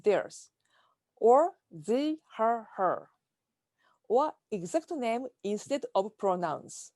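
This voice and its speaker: Lively-pitched woman with a Japanese accent. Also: no room sound. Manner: careful, measured delivery reading from slides. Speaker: keynote speaker. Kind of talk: prepared conference talk. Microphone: video call.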